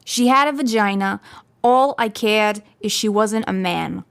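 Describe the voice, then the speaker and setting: A creaky-voiced, devout mother in her sixties, gives a blunt interview in a true-crime style audio drama. She is bitter and cutting, with a medium pitch. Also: measured pace, a bit bright. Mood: bitter, cold. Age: 60s. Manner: blunt, cutting, matter-of-fact. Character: bitter, devout small-town mother of sixty-two, homophobic.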